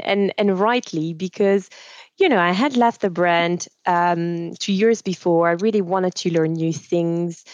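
Woman, low dry voice. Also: a little mellow, no room sound, highs cut off. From Switzerland. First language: French. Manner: thoughtful, many fillers and restarts. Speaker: guest, managing director. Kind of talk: casual interview conversation.

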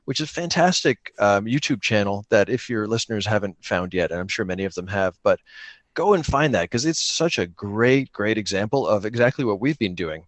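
A man's voice: fairly low pitch, lively intonation, a little fast, dry recording. A man in casual conversation, speaking earnestly and encouragingly with somewhat hesitant phrasing. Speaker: podcaster and guest. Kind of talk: casual chat about gaming.

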